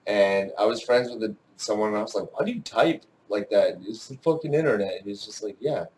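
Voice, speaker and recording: A man chatting casually with a friend on a stream, goofy and quick to laugh. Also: on the low side, a little hiss or hum, low-quality recording. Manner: goofy, laughs a lot, lively. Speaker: co-host friend. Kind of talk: casual chat.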